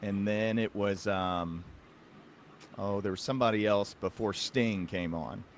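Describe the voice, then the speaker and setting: A man's voice: lowish voice, measured pace, a little hiss or hum. An American man hosting a podcast in a casual, conversational way. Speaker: podcast host, psychiatric practitioner.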